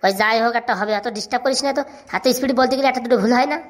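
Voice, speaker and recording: A woman plays a cheeky cartoon schoolboy with a highish voice. He rattles things off at great speed, like a recited lesson, sure of himself and bossy with it. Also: fairly even intonation, rapid-fire, natural voice. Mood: irritated, scolding. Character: cheeky know-it-all village schoolboy Hagu, bossy and boastful. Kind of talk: comic cartoon dialogue.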